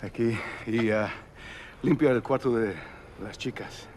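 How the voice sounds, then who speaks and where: An actor playing a sneering cartel gangster in a game cutscene. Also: male voice, mid-range voice, normal speed, lightly acted. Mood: threatening, mocking. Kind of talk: video game cutscene acting.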